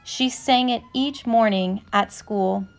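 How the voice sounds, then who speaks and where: A woman narrator reading a children's picture book aloud from a script, with measured, careful phrasing. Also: on the high side, creaky. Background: instrumental music.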